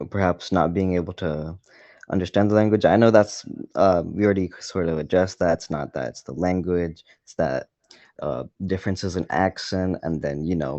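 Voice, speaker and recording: Deep-voiced man, hesitant interviewer. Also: warm tone, no room sound, highs cut off. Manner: hesitant, rambling questions with many fillers. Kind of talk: interview questions.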